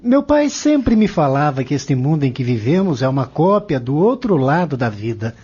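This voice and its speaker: A man's voice: a little high, big pitch swings, normal speed, darkish tone, breathy edge. A man playing an eager, inquisitive young man in an audio novel, with a Brazilian accent. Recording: dull lo-fi audio drama recording.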